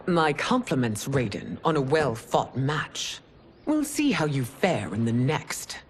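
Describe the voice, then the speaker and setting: An actress playing a regal, stern widowed empress in a video game cutscene, formal and imperious. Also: female voice, very deep voice, sing-song, slow pace, slight background noise, heavily acted. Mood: stately.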